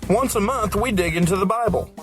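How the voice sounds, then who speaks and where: A man's voice: high-pitched, brisk. A man co-hosting a podcast, reading a scripted promo with bantering turns. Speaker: podcast co-host. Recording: music bed underneath.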